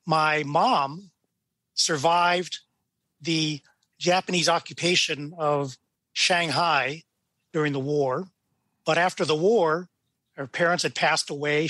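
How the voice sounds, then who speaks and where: A man's voice: on the high side, pushed and bright, no echo. An American man in his sixties from California, a judge telling his story casually as an interview guest. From California, United States. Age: 60s.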